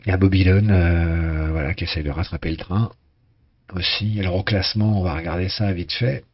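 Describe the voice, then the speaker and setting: A hoarse man casually commenting on a sailing race game, talking with many hesitations and restarts. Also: sits low, fairly even intonation, fairly quick, dull audio.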